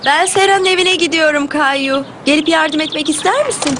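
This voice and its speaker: A woman voicing a gentle, caring young mother in a children's cartoon, patient and encouraging. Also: sits high, normal speed, heavy background noise, close to an everyday voice. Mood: cheerful. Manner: patient, encouraging, simple clear phrasing.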